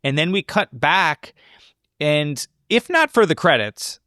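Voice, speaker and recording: A man's voice: fairly high pitch, big pitch swings, slow, sharp tone, dry recording, clean studio sound. A man co-hosting a podcast, talking casually through a film in conversation. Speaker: podcast host.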